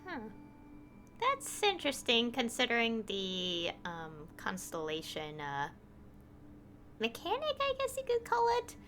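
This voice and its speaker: Chatty woman streamer, lively voice. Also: a little high, a little slow. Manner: chatty, rambling, hesitant fillers. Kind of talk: casual commentary while playing.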